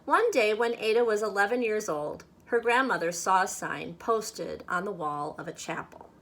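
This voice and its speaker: A woman reading a children's picture book aloud expressively, with measured, dramatic phrasing meant for young listeners. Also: medium pitch, lively intonation, a bit bright. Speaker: storybook reader.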